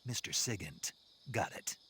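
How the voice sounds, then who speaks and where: A man playing a gruff, laconic American special forces soldier in a video game, dry and terse. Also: lively intonation, unhurried, a bit bright, a little hiss or hum. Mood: businesslike. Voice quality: gruff, low.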